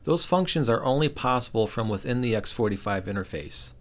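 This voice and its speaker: A man's voice: medium pitch, animated, a little fast. A middle-aged man reads a scripted product review voice-over in a wry, opinionated way. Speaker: reviewer and host.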